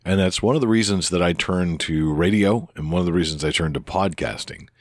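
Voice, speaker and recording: A man with a deep voice talking casually into a close microphone on his podcast, rambling and hesitant with many restarts and fillers. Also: fairly quick, no echo. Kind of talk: casual spoken reply to another podcaster.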